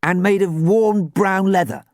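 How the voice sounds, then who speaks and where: Man doing an earnest, high-voiced schoolboy. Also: steady tone, measured pace, dry recording, clean studio sound. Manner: tentative, earnest. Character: earnest, easily worried schoolboy in a storybook.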